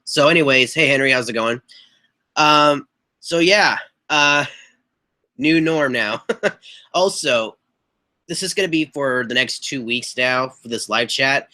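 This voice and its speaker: A young man talking into a webcam from a small bedroom, his voice hoarse and a bit stuffy from a cold. The tone stays bright in spite of the rasp, and he rambles casually with fillers and throat clearing. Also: mid-range voice, a little slow, pauses often. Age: young adult. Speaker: live chat host. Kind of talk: casual live-stream chat. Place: small bedroom with posters on the walls. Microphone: webcam mic at desk distance.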